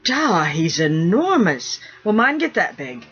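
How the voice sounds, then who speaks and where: A man voicing a naive, excitable young merman boy with wide-eyed, earnest speech. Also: high-pitched, slow pace, pitched above the performer's own voice, heavily acted. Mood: amazed. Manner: wide-eyed, pleading questions. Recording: dull lo-fi recording.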